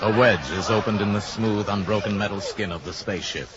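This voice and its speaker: An actor as the grave, suspenseful male narrator of a 1950s radio drama, speaking in a measured, dramatic way. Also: fairly low pitch, a loud crowd, heavy background noise, natural voice. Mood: hushed, suspenseful. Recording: dull old radio recording, loud music.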